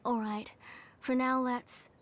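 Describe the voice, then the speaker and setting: A woman playing a gentle, kindly middle-aged mother and village auntie in a video game, with music behind her. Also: fairly high pitch, level tone, slow pace, a little hiss or hum. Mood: fond. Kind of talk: English video game voice acting.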